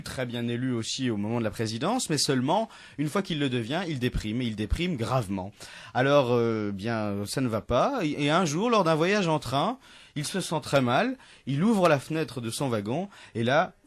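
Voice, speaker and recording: A young man, a student radio presenter, telling a history anecdote in a chatty way, full of hesitations and restarts, from a radio studio. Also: medium pitch. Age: young adult. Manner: chatty, many hesitations and restarts, laughs at own jokes. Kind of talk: casual radio storytelling.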